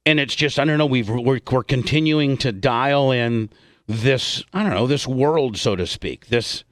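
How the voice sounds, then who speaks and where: A man's voice: mid-range voice, unhurried, a bit bright, dry recording, studio quality. A man hosting a radio show with unscripted talk, blunt and salty in the way he speaks.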